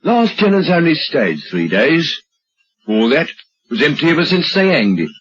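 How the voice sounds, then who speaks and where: An actor playing an inquisitive, gossipy British village policeman with a husky voice and a matter-of-fact manner, in old radio drama style. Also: on the high side, sing-song, moderate pace, fairly bright tone, heavily acted. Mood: matter-of-fact, grim. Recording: dull old radio recording.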